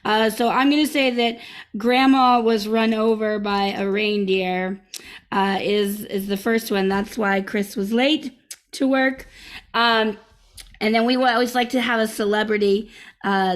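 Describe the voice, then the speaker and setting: A woman's voice: mid-range voice, steady tone, a little slow. A woman hosting a live online improv comedy show over a video call, upbeat and chatty with many fillers and restarts.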